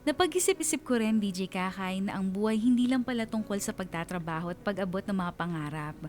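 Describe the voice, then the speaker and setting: A woman's voice: mid-range voice, animated, fast talker, a little mellow, lightly acted. A woman playing a lovestruck young woman confiding her story in dramatic narration, with a Filipino accent. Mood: wistful, puzzled. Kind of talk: scripted dramatic first-person narration.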